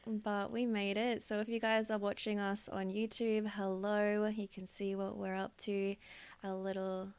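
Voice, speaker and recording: A woman's voice: medium pitch, flat delivery, unhurried, darkish tone, clear, resonant tone, no echo. An Australian woman in her thirties, co-hosting a casual podcast in a chatty way with frequent fillers and easy laughter. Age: 30s. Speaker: podcast co-host. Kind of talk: casual chat.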